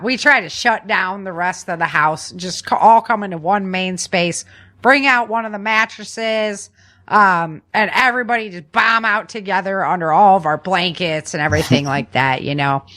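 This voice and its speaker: A woman's voice: mid-range voice, moderate pace, bright, forceful tone. A woman co-hosting a podcast, chatting informally as she explains practical tips. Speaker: podcast co-host.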